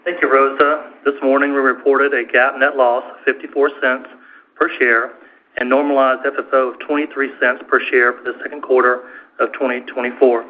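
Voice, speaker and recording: Male finance executive, mid-pitched, scripted earnings-call read, thin telephone audio. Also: flat delivery, normal speed. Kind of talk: scripted corporate financial presentation. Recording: tinny, narrow phone-line conference call.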